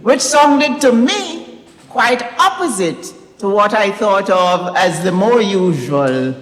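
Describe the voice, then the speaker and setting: A woman giving a prepared literary talk, speaking in measured, deliberate phrases with pauses. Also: medium pitch, expressive. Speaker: author presenting own novel. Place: recital hall, indoors. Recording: echoey recital hall with long reverb.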